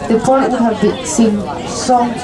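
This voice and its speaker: A woman's voice: fairly high pitch, steady tone, unhurried, crowd noise. A young woman from Spain with a Spanish accent, giving live commentary as a tour guide on a river cruise boat, friendly and lightly joking.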